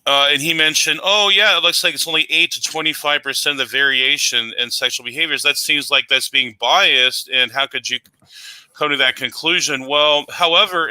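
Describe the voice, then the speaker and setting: Bright, clear-voiced man reading citations. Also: a little high, no echo, low-quality recording. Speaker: debater. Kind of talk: online debate, reading citations.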